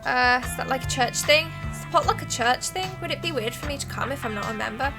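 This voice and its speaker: A polite newcomer to a small town in a visual novel, voiced by a woman streamer. The figure is curious and a little tentative, in a highish voice. Music plays clearly underneath. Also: quick pace. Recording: music playing behind the voice.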